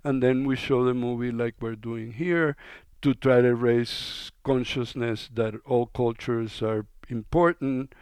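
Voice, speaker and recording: Radio guest, man from Cuba, smooth and resonant, relaxed talk, dry close mic. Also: medium pitch, measured pace, no room sound. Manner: relaxed, conversational, with fillers. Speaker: documentary filmmaker guest. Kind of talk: radio interview.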